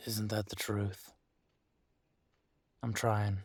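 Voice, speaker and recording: A low-pitched, protective werewolf leader, acted by a man for an intimate roleplay audio drama. He is earnest and weary but determined, speaking deliberately with pauses. His intonation stays quite level, and the sound is dry and close. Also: level tone, breathy edge, no room sound, exaggerated voice. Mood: tense, apologetic.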